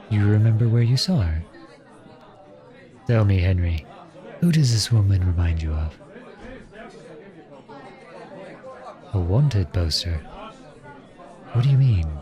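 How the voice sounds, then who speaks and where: Slow, low-voiced wistful prince played by a man. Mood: puzzled, alarmed. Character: outlawed crown prince serving as soldier, wistful, lovestruck, earnest. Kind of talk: ASMR roleplay audio drama acting.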